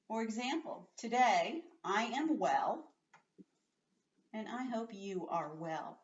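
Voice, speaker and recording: A woman speaks as a primary teacher in a slightly dull, lo-fi recording. She takes her time, explaining in simple steps and stretching out story words with clear emphasis. Her pitch sits in an ordinary middle range for a woman. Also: far from the mic. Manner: expressive, emphatic, slows right down on story words; patient, simple step-by-step explaining. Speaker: teacher reading a story aloud to young pupils. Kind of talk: read-aloud lesson with explanations for children.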